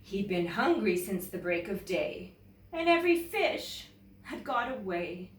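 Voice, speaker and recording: Animated Canadian woman storyteller in an echoey room. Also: medium pitch, recorded from a distance. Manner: expressive, deliberate storytelling. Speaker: teacher reading stories to children. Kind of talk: children's storybook read-aloud with casual introduction. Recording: roomy echo, away from the mic.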